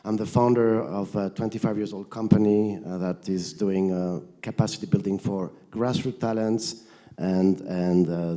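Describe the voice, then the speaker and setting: A man from the Mediterranean introduces himself on a panel in an informal, rambling way. His voice is mellow and a little on the low side. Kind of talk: informal spoken self-introduction.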